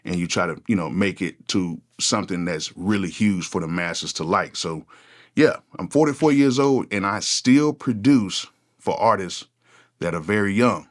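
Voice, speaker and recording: Forties male producer, deep low voice, relaxed unscripted vlog chat. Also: normal speed. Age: 40s. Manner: casual, conversational, repeats "go ahead" often. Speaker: music producer, channel host. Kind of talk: unscripted one-take talk to camera.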